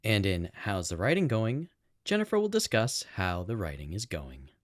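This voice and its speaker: A man's voice: fairly low pitch, wildly swooping pitch, moderate pace, dry recording, clean studio sound. A man co-hosting a podcast in casual chat, rambling conversationally through his explanations. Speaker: podcast co-host. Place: house room with improvised soundproofing. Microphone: own podcast microphone on a boom arm.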